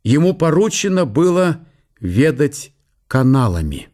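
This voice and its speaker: Higher-voiced, animated male narrator. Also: unhurried. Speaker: narrator in a radio drama. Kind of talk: dramatised literary narration.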